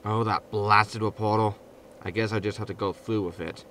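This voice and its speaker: An actor playing a grumpy, indignant disgraced spaceship captain in a sci-fi comedy audio drama, peevish and sarcastic. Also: male voice, fairly low pitch, steady tone, moderate pace, a bit bright, slight background noise, natural voice. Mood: weary, exasperated.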